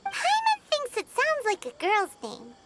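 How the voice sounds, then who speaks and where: A woman voicing a tiny, cheerful, childlike fairy companion with a squeaky, perky delivery in an anime video game. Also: huge pitch swings, a little slow, very noisy, full character voice. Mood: curious. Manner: perky, refers to self in third person. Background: background music.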